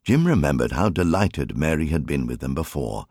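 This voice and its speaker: Very deep-voiced, lively male audiobook narrator. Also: moderate pace, slightly rough, dry recording, studio quality. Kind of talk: scripted storytelling narration.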